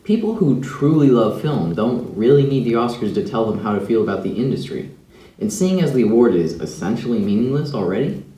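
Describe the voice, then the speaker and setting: Teen male student anchor, mellow and animated, roomy sound. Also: medium pitch. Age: teens. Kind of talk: scripted news commentary.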